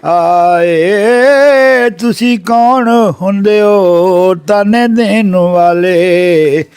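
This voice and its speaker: A man with a Punjabi accent recites folk verse as a sung chant. His voice is high, smooth and resonant. He moves extremely slowly, stretching out the end of each line. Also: a little mellow. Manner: chanting, melodic, with long drawn-out line endings.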